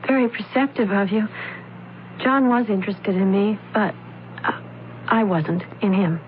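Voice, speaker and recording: An actress playing an earnest, anxious young opera student in an old-time radio mystery, with careful, dramatic diction. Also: female voice, mid-range voice, a little slow, natural voice. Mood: guarded. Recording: narrow, tinny old radio recording, hiss.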